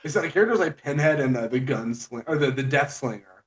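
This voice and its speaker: Halting, eager male podcast interviewer. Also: mid-range voice, lively intonation. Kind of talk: casual chat.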